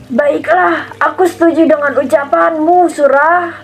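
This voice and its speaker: A woman's voice: high-pitched, steady tone, unhurried, pitched above the performer's own voice. A woman voicing a proud crocodile guarding its territory in a children's fable. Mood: agreeable.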